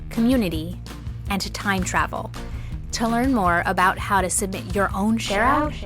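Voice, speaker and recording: Female host for kids' podcast; scripted narration, mid-pitched voice, music playing behind. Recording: clear music bed under voice.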